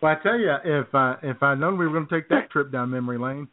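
A man's voice: a little high, fast, no room sound. A male co-host chatting casually in comic radio banter, laid-back and a little hesitant. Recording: narrow, phone-like radio sound.

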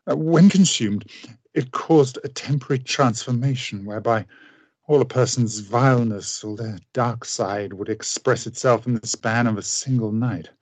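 An actor in a radio play playing a tormented, remorseful gentleman scientist with grave, formal, deliberate diction. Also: mid-range voice, dry recording, lightly acted.

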